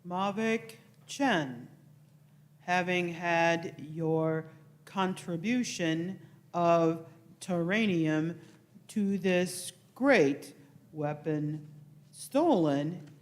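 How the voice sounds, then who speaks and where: Performer voicing a very slow, menacing alien schemer. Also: mid-range voice. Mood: menacing, deliberate. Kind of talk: live radio play reading.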